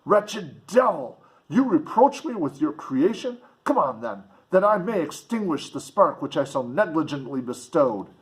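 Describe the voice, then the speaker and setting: A man's voice: a little high, big pitch swings, unhurried, pitched above the performer's own voice, low-quality recording. A man voicing an anguished, furious scientist in a storybook reading, with vehement, archaic declamation. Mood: raging. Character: anguished, furious male scientist denouncing his creation.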